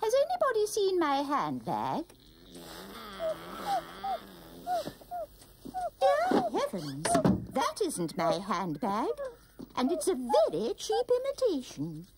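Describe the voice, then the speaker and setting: A woman's voice: sits high, wildly swooping pitch, unhurried, heavy background noise, full character voice. A woman playing a fussy, genteel undead aunt in a cartoon, blithely unruffled. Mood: fussy, indignant. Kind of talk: animated film character acting.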